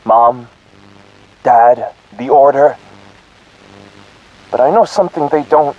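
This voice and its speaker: An actor playing a wheedling, mocking and menacing young brother in an audio drama. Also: male voice, medium pitch, takes it slowly, muffled recording. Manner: coaxing, mocking.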